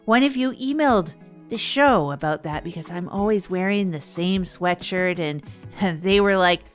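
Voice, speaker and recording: A woman's voice: on the low side, a little slow, soft background music, close to an everyday voice. A woman playing an awkward, earnest small-town podcast host who rambles through comedic stories and digressions. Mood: chatty aside. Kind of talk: rambling comedic monologue storytelling.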